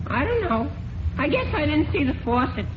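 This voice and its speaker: A skittish, goofy American teenager, whiny and dim. His very high voice leaps wildly, on a dull, noisy old radio recording. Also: big pitch swings, normal speed. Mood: sheepish. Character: skittish, dim, easily startled teenage boy. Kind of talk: 1940s-50s radio comedy acting.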